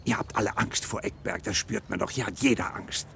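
An actor playing a determined, persuasive professor held as a patient, speaking earnestly in a radio drama. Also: male voice, lowish voice, brisk, vocal fry, a bit bright, a little hiss or hum. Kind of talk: German radio play acting.

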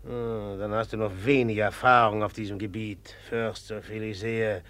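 An actor playing a knowing, mocking man in a German radio drama, drawling condescendingly in a gravelly voice. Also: fairly low pitch, level tone, moderate pace, fairly bright tone, no echo, natural voice. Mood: mocking, menacing. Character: knowing, mocking, slow-spoken man taunting a prince.

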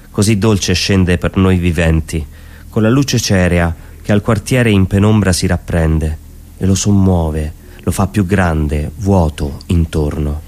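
Low-voiced man reciting poetry. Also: darkish tone.

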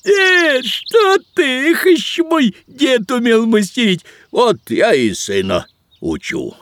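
High-pitched, wildly swooping village craftsman. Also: very animated, takes it slowly, fairly bright tone, no room sound, studio quality, heavily acted. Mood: surprised, proud. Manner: folksy, dismissive, exclamatory. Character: rustic old-time village craftsman, proud gruff father. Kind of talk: audio drama dialogue. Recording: faint noise, birdsong behind. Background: birdsong, outdoor village sounds.

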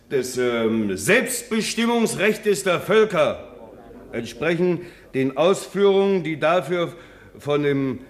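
Halting chairman in an echoing hall. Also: on the high side, a little hiss or hum. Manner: halting, stumbling, repeating himself. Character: nervous workers' chairman reading demands to an assembly. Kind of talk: German radio play acting. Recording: roomy, echoing hall sound.

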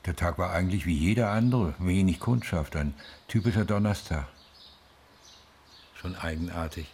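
An actor playing a middle-aged, grieving father in a radio drama, reflective and halting, with a husky voice. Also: sits low, background noise. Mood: reflective, puzzled. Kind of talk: radio drama, interview-like monologue.

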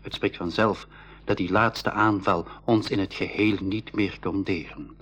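A man's voice: lowish voice, fairly even intonation, unhurried, fairly bright tone, slightly rough. A man playing an earnest young adventurer recounting his journey in a school radio play. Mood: earnest, reflective. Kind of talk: scripted first-person storytelling in school radio play.

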